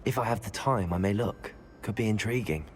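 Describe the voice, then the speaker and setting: A man's voice: on the low side, brisk, natural voice. A young man playing an earnest, curious teenage wizard student in a video game, polite and articulate. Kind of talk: scripted game cutscene acting. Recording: prominent music under the voice.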